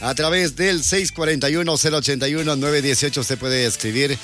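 A man's voice: a little high, a little fast, fairly bright tone, faint music behind. A man hosting live radio, reading out sponsor promotions in a courteous, agreeable manner and often repeating his phrases. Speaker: radio host.

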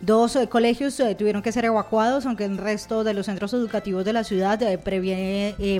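Female radio host, Colombian accent, mid-pitched voice, halting news delivery over clearly audible background music. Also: a little mellow. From Colombia, Cali. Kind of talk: live radio presenting, news update. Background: music behind the voice.

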